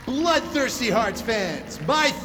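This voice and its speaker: Flippant male stage emcee, high animated voice, slow showman delivery, glib hype, audio drama. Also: a faint crowd, slight background noise, exaggerated voice. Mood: hyped. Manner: announcer hype, glib and jokey. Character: showy, flippant male fan-convention panel host.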